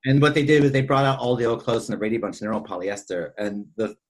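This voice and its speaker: Guy cohosting a movie podcast, average pitch, rambly and chatty, kinda repeats himself. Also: fast talker. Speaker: podcast co-host. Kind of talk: casual film discussion chat.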